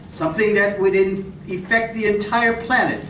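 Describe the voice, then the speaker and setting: A man's voice: sits high, fairly even intonation, normal speed, sharp tone. A man playing an earnest, inquisitive scientist in a radio drama, with a careful, questioning and slightly hesitant delivery. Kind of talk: live radio drama acting. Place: library community room, live performance. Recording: echoey room from far off, noisy.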